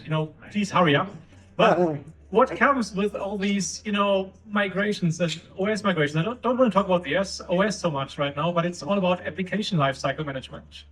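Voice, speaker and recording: A man with a German accent speaks on a conference stage, his voice pitched high for a man. He explains at ease, leaning on fillers as he goes. The mic sits a bit away from him. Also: recorded from a distance, low-quality recording. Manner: relaxed, filler-heavy explaining. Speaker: solutions architect. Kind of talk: conference panel talk.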